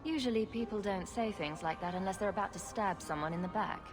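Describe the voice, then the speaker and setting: A woman's voice: mid-range voice, a little fast, over music, lightly acted. An actress playing a bold female adventurer in a fantasy game, with a wry, direct way of talking. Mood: suspicious. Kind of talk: dramatic game dialogue.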